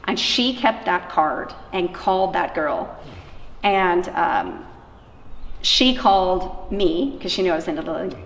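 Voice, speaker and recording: A woman's voice: lowish voice, expressive, unhurried. A woman being interviewed, telling real accounts in an informal, conversational way and voicing bits of dialogue as she goes. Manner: informal, acts out quoted dialogue. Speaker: interviewee, anti-trafficking worker. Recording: echoey room from far off, faint noise.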